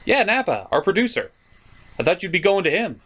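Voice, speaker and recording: A man's voice: high-pitched, very animated, brisk, a bit bright. A man hosting a cartoon review show with theatrical, sardonic comedic commentary.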